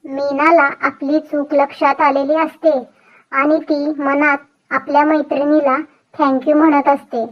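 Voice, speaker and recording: A woman with a high-pitched voice reads scripted story narration. The recording is slightly dull and lo-fi. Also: flat delivery, measured pace.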